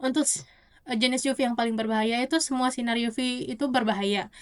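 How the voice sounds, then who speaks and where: A young woman gives an explanatory oral presentation as a student, often halting to correct herself. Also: a little high, fairly even intonation. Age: young adult. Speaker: university student.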